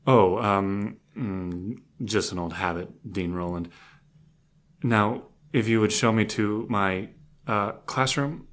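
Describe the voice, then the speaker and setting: Man acting eccentric, halting professor villain. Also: lowish voice, fairly bright tone. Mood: serious, awkward. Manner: halting, theatrical, savoring words. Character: eccentric unsettling male psychology professor villain.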